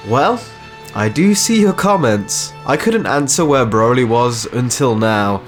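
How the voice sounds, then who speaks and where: A man's voice: mid-range voice, animated, measured pace, over music. A man giving scripted narration as the narrator and creator of a story channel.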